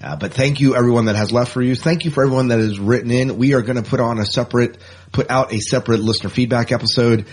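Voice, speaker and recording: A man's voice: mid-range voice, steady tone, fast, a little hiss or hum. A man hosting a podcast in a casual, informal, easygoing chat style. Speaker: podcast host.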